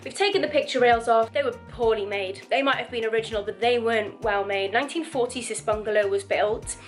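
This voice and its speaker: A woman vlogging casually about renovating her living room, chatty and rambling, her voice croaky from dust. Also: on the high side, brisk, soft background music. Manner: chatty, rambling, laughs often. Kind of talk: casual chatty vlog to camera.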